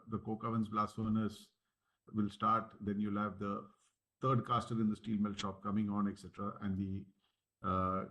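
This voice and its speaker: A man speaking as a company executive, giving matter-of-fact, point-by-point answers on an earnings call. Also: on the low side.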